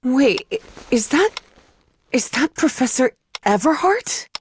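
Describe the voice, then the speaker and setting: An actress playing a wary young woman held for questioning in a dramatic video game, halting but defiant. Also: sits high, very animated, a little hiss or hum, exaggerated voice. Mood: confused, shaken. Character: defiant, shaken young female captive under interrogation.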